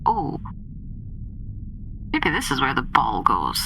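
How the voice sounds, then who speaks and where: A woman roleplays a team leader in a tabletop game. Her bright voice swings widely in pitch while she speaks at a slow pace. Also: huge pitch swings, gravelly, a little hiss or hum.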